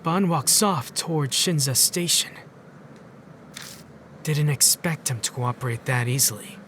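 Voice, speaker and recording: A man's voice: a little high, a little slow, close to an everyday voice. A man playing a weary, wry teenage boy who narrates his night in a visual novel fan dub, dry and matter-of-fact. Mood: dry, wary.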